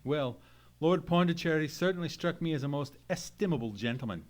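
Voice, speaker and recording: An actor playing a loyal, sceptical Victorian man of science in an old-time radio mystery play, speaking in an earnest, measured, formal manner. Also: fairly high pitch, lively intonation, close to an everyday voice. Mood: earnest, puzzled. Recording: noisy live theatre stage recording.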